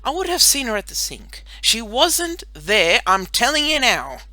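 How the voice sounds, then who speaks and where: Woman as defensive young schoolgirl, flustered, emphatic, high voice, lively swoops, storybook character. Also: measured pace, no room sound, heavily acted. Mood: indignant. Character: nervous, defensive young schoolgirl under questioning.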